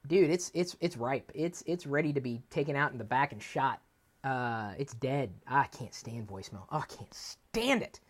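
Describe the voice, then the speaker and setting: A male host delivers casual tech commentary with very lively swings in pitch. He gripes in an animated, comically exasperated way, talking as if straight to his viewers. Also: normal speed.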